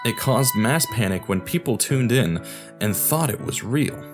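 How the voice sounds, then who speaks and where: Man, podcast narrator, animated pitch movement, wry informal asides, faint music behind. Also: measured pace. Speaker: podcast host.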